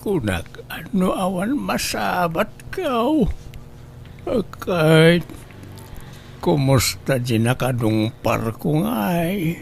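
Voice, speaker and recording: A man's voice: high voice, big pitch swings, very slow, gravelly, slight background noise, full character voice. A man with an Ilocano accent playing a plaintive old village father in an amateur comedy drama. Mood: shaken, grateful. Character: old village father, a shaken pedicab driver.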